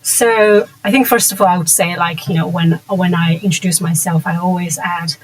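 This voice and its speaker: Earnest woman with low-set voice, Mandarin native. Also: fairly even intonation, moderate pace, low-quality recording. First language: Mandarin Chinese. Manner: earnest, many fillers and restarts. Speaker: podcast guest, education founder. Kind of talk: conversational interview.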